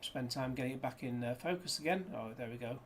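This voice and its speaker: A man's voice: medium pitch, fast, a little hiss or hum. A man chatting casually through a disc unboxing, rambling with jokey asides, recorded indoors on a desk camera. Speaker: disc reviewer.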